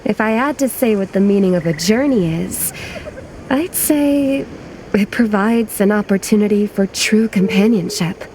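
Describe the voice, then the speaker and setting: Woman as a modest, mid-pitched young traveller. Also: expressive, measured pace, warm tone, slight background noise, close to an everyday voice, rough recording. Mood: reflective. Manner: unassuming, thoughtful. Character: modest, sincere young female first-time traveller. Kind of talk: video game character acting.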